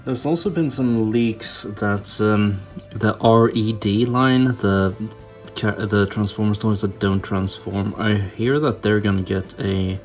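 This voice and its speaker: A man giving casual hands-on hobby commentary, thinking aloud in a hesitant way as he works. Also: lowish voice. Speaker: toy customizer hosting a hobby video. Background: background music.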